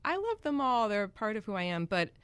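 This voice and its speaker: A woman's voice: medium pitch, big pitch swings, fast, clean tone, no echo. A woman from Connecticut, a singer-songwriter, chatting as a guest in a radio studio interview, with many ums and easy laughs. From Greenwich, Connecticut.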